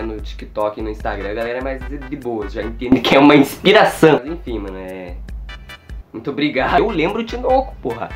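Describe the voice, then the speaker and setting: Teen brazilian guy doing a q&a vlog on his phone in his bedroom, jokey and swearing, faint music behind. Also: mid-range voice. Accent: Brazilian Portuguese. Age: teens. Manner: slangy, jokey, swears a lot, self-mocking. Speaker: YouTuber answering viewer questions. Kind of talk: casual Q&A vlog, unscripted. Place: small bedroom with wooden walls, indoors. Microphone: phone or webcam at arm's length.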